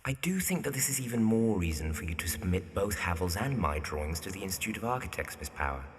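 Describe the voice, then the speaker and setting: A man's voice: deep voice, big pitch swings. A man playing an earnest, lovestruck young architect in a period audio drama, formal and hesitant in manner. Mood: formal, sympathetic. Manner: hesitant, formal, halting when flustered.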